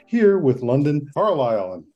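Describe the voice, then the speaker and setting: A man hosting an interview podcast in a conversational way, with halting, roundabout phrasing. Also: fairly high pitch, very animated, muffled recording, soft background music. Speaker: podcast host, therapist.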